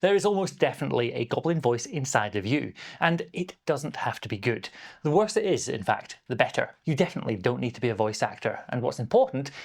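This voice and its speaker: Man, medium pitch, noticeable creak, playful, emphatic, encouraging, scripted explainer host. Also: very animated, fast talker. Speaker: game master and video host.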